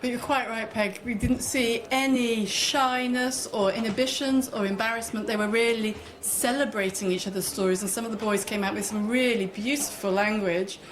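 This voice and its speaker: A woman's voice: on the high side, fairly even intonation, moderate pace, few pauses, rough recording. A woman guest talking earnestly on a radio show about storytelling workshops. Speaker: author and workshop leader, guest. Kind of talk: radio interview.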